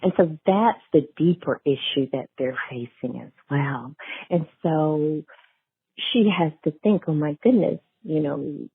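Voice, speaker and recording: Low-voiced woman, a candid interview guest. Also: measured pace, creaky, husky, dry recording, narrow, tinny audio. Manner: explanatory, candid. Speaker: guest, novelist. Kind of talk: conversational interview discussion.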